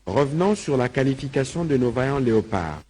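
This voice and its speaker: A man's voice: mid-range voice, big pitch swings, a little fast, dark tone, husky. A man reading out an official government report as a spokesman. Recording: faint background noise and ambience.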